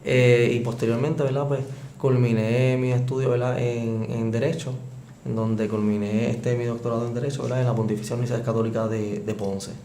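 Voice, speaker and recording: Casting: Puerto Rican man, formal guest, mid-pitched, echoey room. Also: flat delivery, a little fast, warm tone. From Puerto Rico. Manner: formal, fills with "¿verdad?". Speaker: epidemiologist guest. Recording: echoey room, faint noise.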